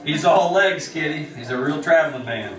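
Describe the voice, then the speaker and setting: An actor playing a terse, authoritative American frontier marshal in an old-time radio western. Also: male voice, fairly high pitch, normal speed, crowd noise, natural voice. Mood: dry, easy. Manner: terse, authoritative, plain-spoken. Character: steady, commanding frontier marshal, dry and firm. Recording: roomy, distant vintage radio recording with voices.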